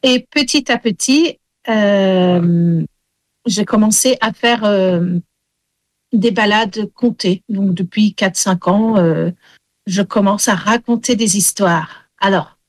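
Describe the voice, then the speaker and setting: A woman raised in Wales whose first language is English, a storyteller speaking haltingly with many pauses in an interview. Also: mid-range voice, no room sound. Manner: hesitant, many fillers. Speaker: storyteller and singer.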